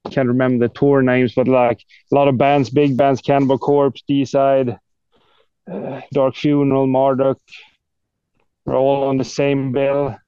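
A man's voice: mid-range voice, flat delivery, unhurried, mellow, clean tone, no room sound, highs cut off. A man from Sweden with a Swedish accent chats casually as an interview guest, in a hesitant, self-deprecating way. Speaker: guest, death metal guitarist.

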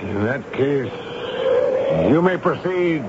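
An actor playing a stern, sardonic army major in a 1970s radio drama, commanding and dryly sarcastic. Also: male voice, a little high, lively intonation, slow pace, heavy background noise. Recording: dull lo-fi radio recording with music.

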